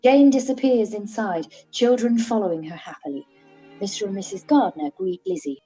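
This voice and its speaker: A woman narrating stage directions in a plain, measured way for a radio play reading. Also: on the high side, animated, mellow, husky, soft background music, low-quality recording.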